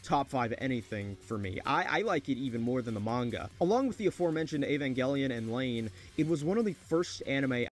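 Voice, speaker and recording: A man reads scripted video essay narration in a medium-pitched voice. Faint music plays underneath his words. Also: expressive, brisk, few pauses.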